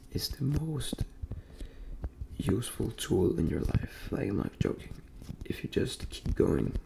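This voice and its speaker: ASMR creator, young man from Denmark: very deep, breathy half-whisper with wide swings in pitch, clear background noise. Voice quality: breathy soft near-whisper, often unvoiced. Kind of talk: ASMR, personal motivational talk.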